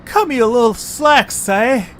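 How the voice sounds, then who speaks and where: A man's voice: extremely high pitch, measured pace, fairly bright tone, a little hiss or hum, pitched above the performer's own voice. A man voicing a young male cartoon creature who is whiny, put-upon and prone to exasperated complaint. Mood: grumbling.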